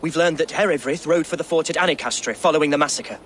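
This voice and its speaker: A man's voice: fairly high pitch, fast talker, a bit bright, slight background noise, lightly acted. An actor playing an earnest, unsure young nobleman in a video game, formal and sincere. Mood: hesitant. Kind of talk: video game character acting.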